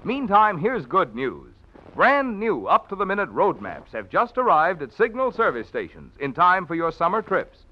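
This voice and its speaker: An American male announcer with a fairly high, very lively voice delivers an old-time radio advertisement. He sounds polished and enthusiastic on a dull vintage broadcast recording. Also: moderate pace. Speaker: radio announcer and sponsor pitchman. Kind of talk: 1940s radio advertising read.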